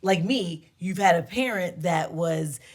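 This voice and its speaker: A woman's voice: lowish voice, unhurried. A woman co-hosting a talk show, sharing her views in casual discussion.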